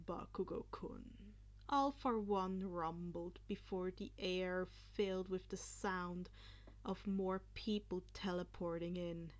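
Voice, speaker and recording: A woman reading fan fiction aloud as scripted narration, in a dramatic, deliberate manner. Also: mid-range voice.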